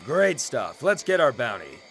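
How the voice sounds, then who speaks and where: A man's voice: mid-range voice, wildly swooping pitch, a little slow, vocal fry, a bit bright, a little hiss or hum, exaggerated voice. Casting: actor as sarcastic male sellsword, out for the coin, blunt slangy talk, mid-range voice, fantasy audio play. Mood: cynical. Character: cynical, money-minded male mercenary adventurer. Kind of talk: fantasy audio drama acting.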